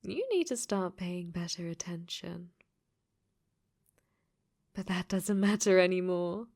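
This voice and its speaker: Woman playing a possessive female game AI, unhurried delivery, breathy and whispery, sweetly menacing, clingy, ASMR roleplay. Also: lowish voice, darkish tone. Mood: smug, playful. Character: possessive, clingy female dating-sim AI in robot body. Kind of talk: ASMR audio roleplay acting.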